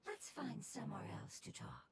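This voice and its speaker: A woman playing an ancient, formal spirit in a fungus's body for a video game, speaking in measured, whispery phrases through reverb. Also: fairly high pitch, very animated, slightly rough, full character voice. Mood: polite, measured. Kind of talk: scripted video game acting. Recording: game music loud behind. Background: game music.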